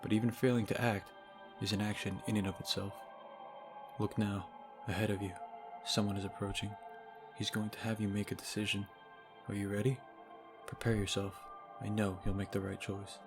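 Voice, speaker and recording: A man narrating a scripted video game review in a sardonic, casually profane way, recorded on a low-grade microphone. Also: level tone, moderate pace, long pauses. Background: game music.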